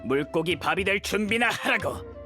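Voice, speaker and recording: A man's voice: sits high, animated, moderate pace. A male actor playing a swaggering, hot-tempered henchman in a game, with an aggressive manner. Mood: taunting, menacing. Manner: taunting, aggressive. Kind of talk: anime-style game voice acting. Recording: loud music behind the voice.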